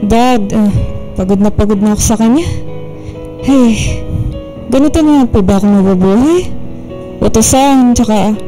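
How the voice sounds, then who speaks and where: A woman's voice: on the high side, normal speed, pauses often, mellow, soft background music, close to an everyday voice, low-quality recording. An actress playing a worn-out daughter in her late twenties, hesitant and pleading, in a radio drama. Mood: weary, pleading. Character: worn-out 28-year-old daughter, a respectful call center worker.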